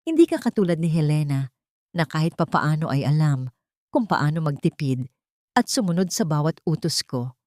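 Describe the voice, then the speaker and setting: A woman's voice: low-pitched, sing-song, moderate pace, a little mellow, no room sound, studio quality. A woman voicing an angry, domineering elderly matriarch who scolds imperiously in a narrated family drama story. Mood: scolding, contemptuous.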